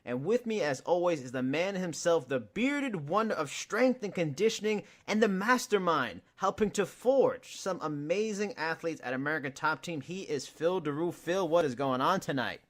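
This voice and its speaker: Lively man hosting a podcast. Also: a little high, normal speed, hardly any pauses. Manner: upbeat, jokey, laughs often. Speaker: podcast host. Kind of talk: casual podcast chat.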